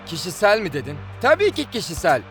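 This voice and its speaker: A man's voice: sits high, huge pitch swings, fairly quick, a bit bright, exaggerated voice. A man voicing an indignant, earnest young footballer in a cartoon dub, protesting and exclaiming. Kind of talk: animated cartoon dub acting. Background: music.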